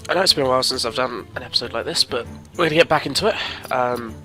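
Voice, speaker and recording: A man's voice: medium pitch, fast, pushed and bright, soft background music. A man with a cold, sniffling as he chats casually through his card game, thinking aloud and rambling. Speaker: gaming commentator. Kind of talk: casual let's-play commentary.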